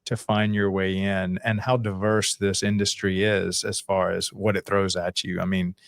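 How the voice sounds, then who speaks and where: A man's voice: low voice, level tone, moderate pace, creaky, no echo. A man hosting a podcast, interviewing his guest in a casual, conversational style.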